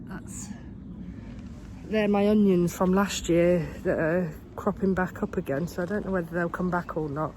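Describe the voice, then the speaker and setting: A woman's voice: lowish voice, fairly even intonation, moderate pace, a little mellow, slight background noise, poor audio. A woman in her thirties with a cold, chatting casually on her allotment as she plans her gardening jobs, with lots of ums and restarts. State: has a cold. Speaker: gardening vlogger. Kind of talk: casual vlog chat. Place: outdoors on an allotment.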